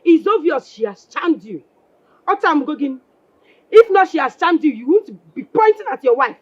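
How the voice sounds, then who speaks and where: A woman's voice: high voice, big pitch swings, normal speed, dry recording, muffled recording. A woman playing a quarrelsome, spiteful village wife with a Nigerian accent, scolding and repeating herself insistently in film drama style. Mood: indignant. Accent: Nigerian Igbo-English. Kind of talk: Nollywood film drama acting.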